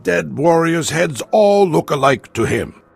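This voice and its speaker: A grave alien warrior chief in a sci-fi game. His speech is slow and formal, with a rough husk, proud and reasoning. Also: on the high side, lively intonation, no room sound, full character voice. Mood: earnest. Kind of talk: video game voice acting.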